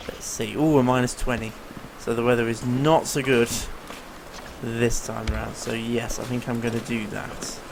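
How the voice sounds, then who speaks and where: A man with a tired, rough-edged voice that is clearly not at full strength, talking a viewer through a survival game at an easy, unhurried pace. His voice sits at an ordinary middle pitch. There is a steady, clearly audible noise in the background of this home recording. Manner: easygoing, explains as he plays, many ums and uhs. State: strained, failing voice. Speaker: gameplay commentator. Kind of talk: casual let's-play commentary.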